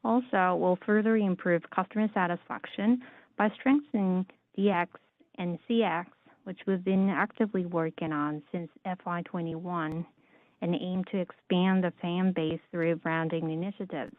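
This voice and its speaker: A woman giving a formal scripted business presentation as a conference call interpreter, reading in a measured, orderly way. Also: lowish voice, steady tone, dry recording. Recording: narrow, dry phone-like line.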